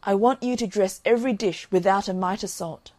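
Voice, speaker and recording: A woman voicing a plucky, secretive young servant woman in a fairy tale, brisk and confident with rustic phrasing. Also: medium pitch, darkish tone, no echo, natural voice.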